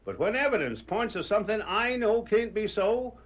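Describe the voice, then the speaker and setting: A folksy old Western deputy with a high voice and an American frontier accent. He talks plainly and emphatically, in old radio western style. The recording is muffled and vintage. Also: animated, normal speed. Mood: earnest, opinionated. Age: older. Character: folksy, plain-spoken old former sheriff turned deputy. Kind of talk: 1940s radio western acting.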